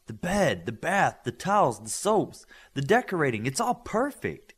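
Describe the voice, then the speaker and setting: A man's voice: a little high, very animated, moderate pace, slightly rough, pitched above the performer's own voice. A man voicing an eager, gushing teenage superhero girl in a storybook reading. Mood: delighted. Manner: enthusiastic, gushing.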